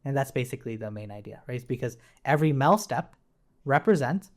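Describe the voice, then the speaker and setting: A woman giving an informal programming tutorial, explaining as she types and often checking in with a quick "right?". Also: a bass voice, expressive, a little mellow. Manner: checks understanding with frequent "right?". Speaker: programming instructor. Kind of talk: informal coding tutorial, explaining while typing.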